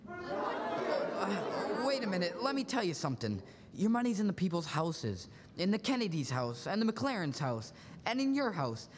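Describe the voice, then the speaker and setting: A young man acting an earnest, halting, pleading young loan-office manager in a 1940s-style radio play. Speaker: student actor in a school radio play. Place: large school auditorium stage. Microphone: stand microphone. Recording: echoey auditorium with steady rumbling noise.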